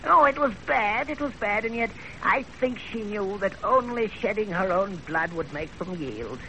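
An actor playing a wily, talkative old matchmaker who hems and repeats himself, in a 1930s radio drama. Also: male voice, high-pitched, expressive, normal speed, pushed and bright, heavily acted. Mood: smug, advising. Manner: rambling, hemming, repeats phrases. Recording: noisy, crackly 1930s radio broadcast.

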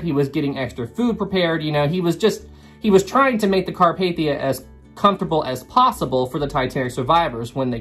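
Young man with a slightly rough voice. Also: on the high side, fairly bright tone. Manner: earnest, repeats names often. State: slightly rough voice from recovering illness. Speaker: history channel host. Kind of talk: casual history storytelling to camera. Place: small home room with wood paneling and ship models.

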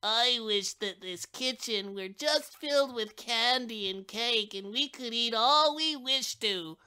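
A woman's voice: a little high, slow pace, sharp tone, clean tone. A woman voicing an eager young child in a storybook, crying out wishes. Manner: eager, cries out. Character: excited young child wishing for treats.

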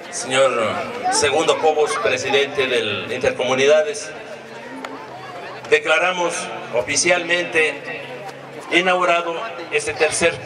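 A man in his forties with an Ecuadorian accent gives a formal, halting opening speech as a local official. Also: fairly high pitch, noisy background. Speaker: deputy mayor. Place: outdoor sports ground under a canopy. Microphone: handheld microphone. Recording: distant outdoor PA with crowd.

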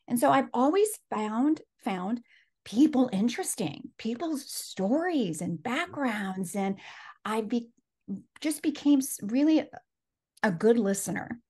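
A woman's voice: medium pitch, darkish tone. A woman in her forties, a life coach whose first language is Spanish, telling her story earnestly over a home video call. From Los Angeles. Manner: earnest, reflective storytelling with frequent laughs. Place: home office. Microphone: video call webcam.

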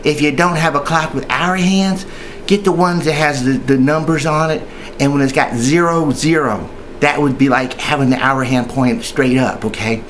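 A man's voice: fairly high pitch, steady tone, moderate pace, a bit bright, slight background noise. A man speaking as a union member in an informal training video, chatty and hesitant, rambling along and often repeating himself. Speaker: union member giving tips.

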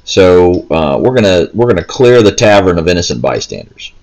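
A man's voice: sits low, big pitch swings, normal speed, darkish tone. A man giving casual gameplay commentary, explaining step by step in a rambling way. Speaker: gameplay video host. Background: faint game music.

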